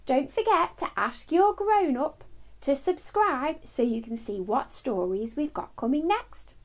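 Very lively, high-voiced woman storyteller. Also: unhurried. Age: middle-aged. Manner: expressive, addressing young children. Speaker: children's storytime host. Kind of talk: children's picture book read-aloud.

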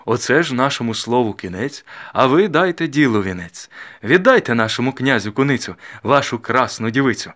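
A man's voice: mid-range voice, animated, fairly quick, fairly bright tone, voice pushed up, lightly acted. Man as a solemn, declaiming village matchmaker. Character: ceremonious male village matchmaker, solemn, flowery, mock hunter.

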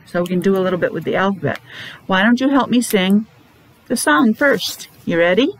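A middle-aged woman teaching young children in simple, deliberate phrases with chatty asides, speaking through a face mask. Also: mid-range voice, lively intonation, a little hiss or hum, poor audio. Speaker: preschool teacher. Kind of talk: children's classroom lesson. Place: small indoor classroom.

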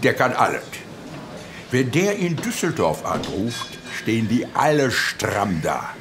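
An actor playing a folksy, gossipy older uncle with a chummy, colloquial manner in a crime radio drama. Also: male voice, mid-range voice, sing-song, unhurried, noisy background.

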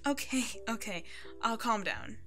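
A woman's voice: on the high side, lively intonation, unhurried, pushed and bright. Woman doing a bubbly caring hairstylist in a comfort asmr roleplay, reassuring and playful, bit of music under it. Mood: playful, upbeat. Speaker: voice actor playing a hairstylist. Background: background music.

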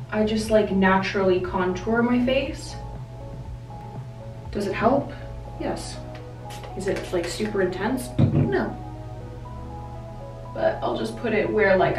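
A woman's voice: medium pitch, echoey room, poor audio. A young woman chatting casually to her handheld camera in a vlog, full of fillers and candid, a little tired. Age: young adult. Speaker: vlogger. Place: home bathroom, kitchen and car, indoors. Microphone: handheld camera at arm's length.

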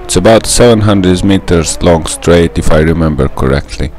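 A man's voice: low voice, moderate pace, a little mellow. A young man with a Hungarian accent, a native Hungarian speaker, narrating a gameplay tutorial in a careful, methodical way. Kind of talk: scripted gameplay voice-over.

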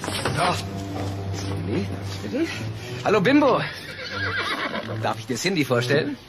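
Animated, fairly high-voiced man. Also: slow pace, over loud music, full character voice. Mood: brisk, friendly. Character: busy adult man hosting a young film crew.

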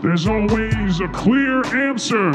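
Man doing a stern rapper dad, high voice, slow, loud beat behind. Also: animated. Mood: stern boastful. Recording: live stage with loud music beat.

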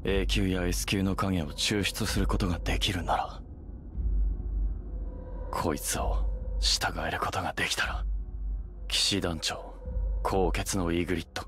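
A man playing a brooding young hunter in an anime game cutscene, measured, musing and commanding, with a deep voice. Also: flat delivery, noisy background. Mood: solemn, commanding. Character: brooding young male hunter gaining necromancer powers. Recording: loud music behind the voice. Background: loud soundtrack music.

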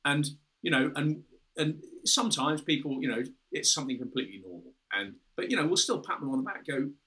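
British man, fifties, bright tense voice, halting explanatory talk, video call. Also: fairly high pitch, expressive, rough recording. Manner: explanatory, with many restarts and "you know". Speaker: guest, former fighter pilot. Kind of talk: casual interview over video call. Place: room with a window and red curtains, indoors.

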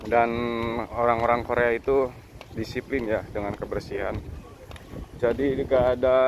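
A man's voice: medium pitch, a little hiss or hum, low-quality recording. A man from Indonesia chatting casually as a travel vlogger, guiding viewers along as he walks outdoors by the sea with a handheld phone. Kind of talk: casual walking vlog chat. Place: outdoors along a seaside walkway.

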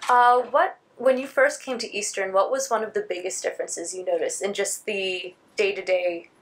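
A woman's voice: mid-range voice, fairly even intonation, normal speed. Teenage girl asking interview questions. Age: teens. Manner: encouraging, frequent mm-hmms. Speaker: student interviewer. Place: school studio set, indoors.

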